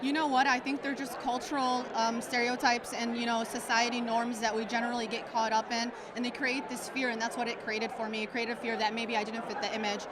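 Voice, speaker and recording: A woman's voice: a little high, flat delivery, a little fast, few pauses. A woman from Chicago, a police officer, speaking earnestly and with emphasis in a red carpet interview. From United States, Chicago. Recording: loud crowd and street noise.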